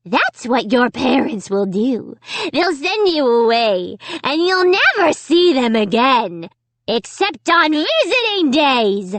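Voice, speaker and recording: A cruel male ventriloquist dummy hisses threats in a harsh, cold, whispery rasp, put on by a woman reading an audiobook. He stretches each word slowly, rising and falling in lively menace. The sound is close and dry. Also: sits high, no room sound, voice pushed up, heavily acted. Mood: menacing. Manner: slow, drawn-out menacing.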